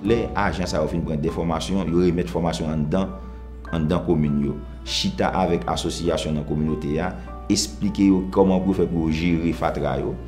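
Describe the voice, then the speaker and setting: A man's voice: sits low, steady tone, normal speed, darkish tone. A man with a Haitian accent explaining work in a documentary interview. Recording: music bed behind voice.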